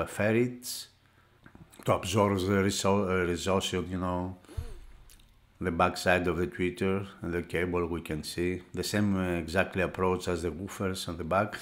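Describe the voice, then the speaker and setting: A man who is a non-native English speaker gives an unscripted hands-on product review, pausing often as he searches for words. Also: deep voice. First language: not English. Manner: halting, searching for words, with frequent hesitations. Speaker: product reviewer for an audio magazine.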